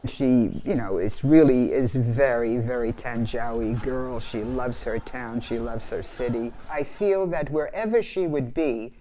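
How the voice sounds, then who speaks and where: A person giving a casual interview, halting and searching for words. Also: medium pitch, dark tone, slight background noise.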